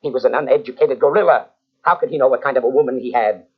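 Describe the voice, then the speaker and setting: A man playing a panicking, double-crossing gangster in an old radio drama, stammering and rising to shouts. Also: medium pitch, fast, slightly rough, lightly acted. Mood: wooing. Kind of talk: 1940s radio acting. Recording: muffled old radio tape.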